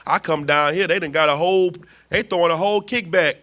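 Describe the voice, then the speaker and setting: A man voicing God as an exasperated figure fed up with his people, ranting fast and loud in street slang. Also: a little high, pitched above the performer's own voice.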